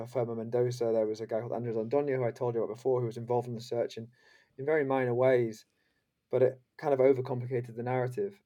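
A man gives interview answers at a quick pace in a soft-edged, mellow voice. He sounds reflective and conversational, hesitating and reworking his phrases as he goes. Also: medium pitch. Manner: hesitant, self-correcting, reflective. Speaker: interview guest, journalist.

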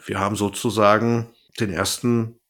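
A man's voice: fairly low pitch, flat delivery, moderate pace, a bit bright. A man co-hosting a podcast, retelling a story in casual chat with colloquial, jokey phrasing. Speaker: podcast co-host.

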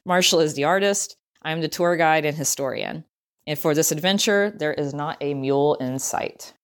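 A woman's voice: low voice, normal speed. A woman with a Southern US accent reading a scripted travel essay as narration. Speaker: writer, tour guide and historian reading own essay.

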